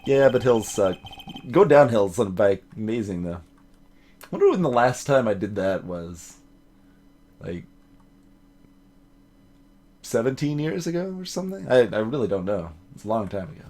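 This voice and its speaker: A man's voice: fairly low pitch, very animated, normal speed, pauses often, a little mellow. A man hosting a let's play, giving game commentary with wry, joking asides. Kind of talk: game commentary, reading dialogue aloud in character.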